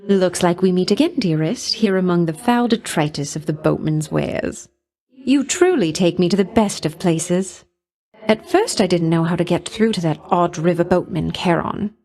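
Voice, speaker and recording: A woman performs a video game goddess of love with a fairly low female voice. She is flirtatious and silky, talking down to her listener with pet names. Beneath the coquettish delivery sits a vain, jealous temper that can threaten. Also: a little mellow, close to an everyday voice. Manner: coquettish, condescending, silky endearments with veiled threats.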